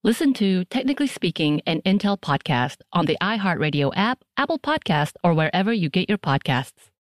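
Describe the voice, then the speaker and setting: A woman's voice: on the low side, very animated, a little fast, no echo, clean studio sound. Upbeat woman doing ad voice-over. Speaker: ad announcer. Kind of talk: scripted commercial voice-over.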